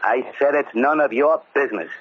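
An actor playing a hot-tempered, paranoid gangster boss in an old radio crime drama, snappish, sarcastic and barking orders. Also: male voice, medium pitch, brisk, breathy edge, natural voice. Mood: irritated, commanding. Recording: muffled old radio recording.

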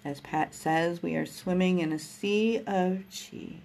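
A woman guiding a breathing meditation, speaking in a slow, soothing, gentle way. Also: lowish voice, gravelly, slight background noise. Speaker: meditation guide.

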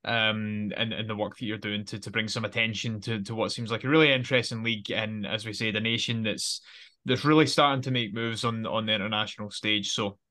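A man's voice: lowish voice, steady tone, fairly quick, bright, forceful tone. A man co-hosting a football podcast, chatting in a friendly way and often repeating words as he restarts. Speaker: podcast co-host. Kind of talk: casual podcast chat.

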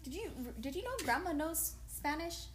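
A woman chatting casually and telling anecdotes in an animated, informal way. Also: high voice, moderate pace, a crowd in the background. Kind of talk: casual chat.

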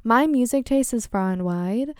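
A woman in her twenties hosting her own podcast, chatting casually on her own with an excitable, candid manner, laughing often and swearing freely. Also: a little high, moderate pace, clean tone, no room sound, studio quality. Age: 20s. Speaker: podcast host. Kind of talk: casual solo chat.

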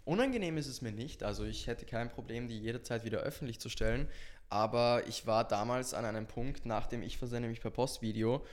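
A man of eighteen with an Austrian accent speaks as a guest in a casual interview. His voice sits at an ordinary male pitch. He talks conversationally about himself, as a social media creator would. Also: fairly quick.

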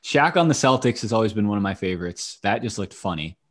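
A man's voice: lowish voice, lively intonation, fast, a loud crowd. A young man hosting a sports podcast with laid-back, casual and sometimes profane banter. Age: young adult. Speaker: podcast host.

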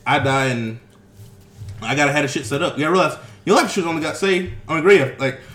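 Fast-talking profane young man. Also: fairly high pitch. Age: 20s. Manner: profane, animated ranting. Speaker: podcast co-host. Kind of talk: casual chat. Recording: echoey room.

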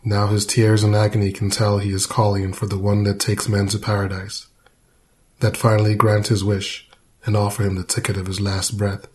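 A man's voice: on the low side, completely flat delivery, moderate pace, a little mellow. A man reading a narrative poem aloud. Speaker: poetry reader. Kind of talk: poetry reading.

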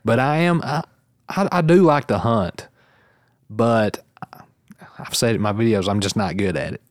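A relaxed male podcast host talks in a loose, rambling way and laughs easily. His voice sits a little low. The sound is close and dry, with no room echo. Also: normal speed. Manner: rambling, easygoing, laughs often. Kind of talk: casual podcast chat.

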